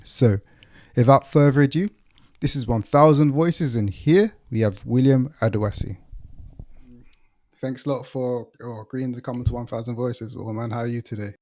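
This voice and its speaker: Mellow-voiced London man hosting a podcast. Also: medium pitch, normal speed. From East London, England. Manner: informal, conversational, uses slang. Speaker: podcast host and interviewer. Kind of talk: casual interview chat and podcast introduction.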